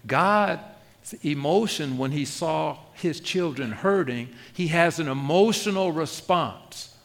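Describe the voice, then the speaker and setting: A man's voice: on the high side, animated, takes it slowly, vocal fry. A man preaching a sermon as pastor, conversational and self-deprecating, often asking the congregation rhetorical questions.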